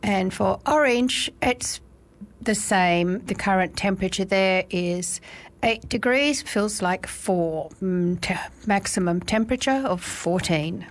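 An Australian woman presenting on community radio, with a froggy, gravelly voice, reading the weather in a relaxed way with fillers and small slips. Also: mid-range voice, slow pace. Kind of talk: live radio weather read and announcing.

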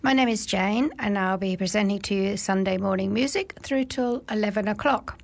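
An Australian woman presenting on community radio, with a froggy, gravelly voice, reading the weather in a relaxed way with fillers and small slips. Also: medium pitch, normal speed. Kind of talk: live radio weather read and announcing.

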